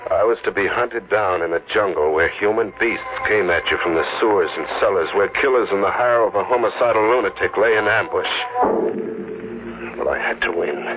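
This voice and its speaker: A man's voice: sits low, moderate pace, music in the background, natural voice. An actor playing a hunted, desperate tough man in a 1940s radio thriller, tense, hardboiled and dramatic. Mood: anxious dread. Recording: tinny, noisy old radio recording.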